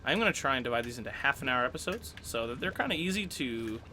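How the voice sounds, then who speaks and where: A young man hosting a casual gameplay commentary, chatty and upbeat, getting over a cold and clearing his throat often. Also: mid-range voice, sing-song, quick pace, pushed and bright, a little hiss or hum. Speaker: let's play host.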